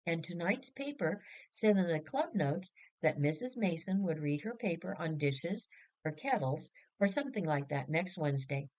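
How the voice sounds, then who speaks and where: A woman's voice: fairly low pitch, lively intonation, normal speed, a little mellow, natural voice. A woman voicing eager, folksy family members chattering their news in a storybook reading.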